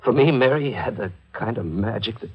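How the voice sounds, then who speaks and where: An actor playing a guilt-ridden newspaper reporter in a 1940s radio mystery, confessing in a halting, anguished way. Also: male voice, fairly low pitch, expressive, slightly rough, lo-fi sound. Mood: weary, remorseful.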